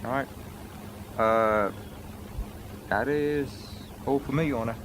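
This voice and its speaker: A man playing a lawyer in a roleplay courtroom, questioning a witness in a formal, measured way. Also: medium pitch, noisy background.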